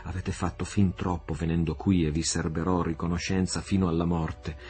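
Un uomo che fa un avventuriero leale e solenne. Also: male voice, sits low, moderate pace, close to an everyday voice. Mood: devoted. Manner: steady, solemn. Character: loyal bold male adventurer, calm and earnest.

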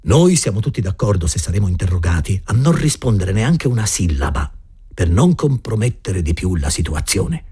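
A man voicing a hurried schoolboy conspirator. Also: low voice, big pitch swings, warm tone, breathy edge, heavily acted. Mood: urgent. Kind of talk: audiobook reading.

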